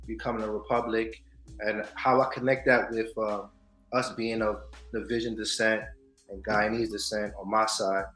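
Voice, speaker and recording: A man co-hosting a casual news and culture show, animated, swinging between loud hype and soft asides. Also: fairly low pitch, moderate pace. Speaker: podcast co-host. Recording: echoey room with faint music.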